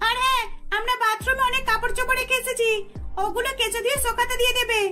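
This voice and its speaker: Woman playing a shrill, haughty young sister-in-law. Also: flat delivery, fast talker, pushed and bright, soft background music. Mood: bossy, sarcastic. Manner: whiny, taunting, bossy. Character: haughty, greedy young sister-in-law scolding poor bride.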